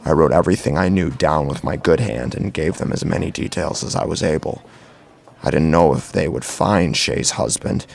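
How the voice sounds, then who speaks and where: Male actor as tormented victim narrating horror tale: heavy fry, husky, halting, strained with guilt. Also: a bass voice, exaggerated voice. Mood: grim, bewildered. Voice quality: gravelly, husky. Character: anguished, guilt-ridden male victim recounting his tragedy. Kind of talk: first-person horror story narration.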